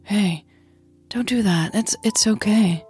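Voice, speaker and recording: A woman's voice: medium pitch, slow pace, a little mellow, breathy edge, soft background music. A woman playing a guilty, guarded, shy woman in an audio drama, hesitant and apologetic. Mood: soothing.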